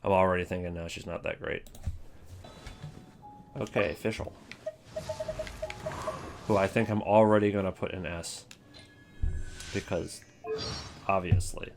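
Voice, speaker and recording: A man's voice: deep voice, steady tone, a little slow, vocal fry, long pauses, over music. A man giving offhand, opinionated casual commentary as a video creator ranking things.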